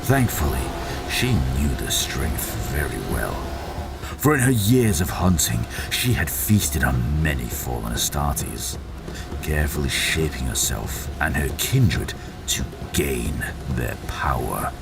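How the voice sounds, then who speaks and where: Extremely deep, gravelly male narrator. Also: expressive, slow. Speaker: audiobook narrator, voice actor. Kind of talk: dramatic scripted fiction narration. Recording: voice over prominent background music.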